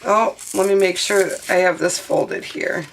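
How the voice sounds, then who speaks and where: A hoarse woman in her thirties, her voice partly lost, chatting casually with many fillers as she talks through a craft tutorial at her home studio desk. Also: on the low side, steady tone, unhurried, rough recording. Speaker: craft video host. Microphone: overhead camera mic, speaker off-frame.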